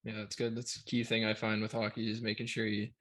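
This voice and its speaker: A man's voice: lowish voice, completely flat delivery, fast, fairly bright tone. A man hosting a podcast interview, chatty and stumbling over his questions. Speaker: podcast host. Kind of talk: casual interview.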